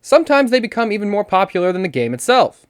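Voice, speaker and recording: A man's voice: high-pitched, big pitch swings, fast talker, smooth, no room sound, studio quality. A man hosting a video essay, delivering scripted commentary in a conversational way with wry asides. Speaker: host.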